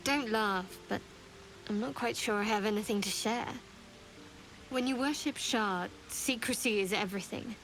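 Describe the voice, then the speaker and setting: Woman as soft, wry young fantasy cleric. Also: medium pitch, normal speed, soft background music, lightly acted, poor audio. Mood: guarded, confiding. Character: guarded, wry young female cleric warming to romance. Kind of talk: video game drama acting.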